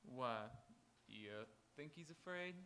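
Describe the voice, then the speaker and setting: Male actor as peacekeeping marshal, authoritative, lively intonation, forceful tone, live radio Western. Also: fairly high pitch, takes it slowly, a little hiss or hum, exaggerated voice. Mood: curious, thoughtful. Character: steady, watchful frontier marshal keeping the peace. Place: auditorium stage, live radio theatre performance.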